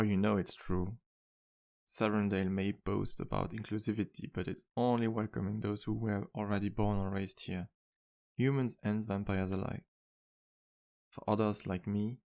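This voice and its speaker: A man acts out a charming male vampire bartender for an ASMR roleplay. His voice sits low, with a heavy gravelly creak. He speaks gently and modestly, in courteous, slightly formal phrases meant for a single listener. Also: flat delivery, normal speed. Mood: wry, guarded. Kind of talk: ASMR roleplay, intimate one-sided dialogue.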